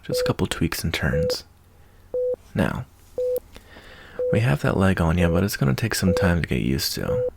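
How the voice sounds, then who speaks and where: A man playing a caring, folksy wasteland doctor in an ASMR roleplay, talking in an unhurried, reassuring, slightly hesitant way. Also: deep voice, wildly swooping pitch, creaky, very noisy, full character voice. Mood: calm, caring. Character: laid-back, fatherly wasteland doctor, gruff but caring adult man. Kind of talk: ASMR roleplay, softly acted monologue.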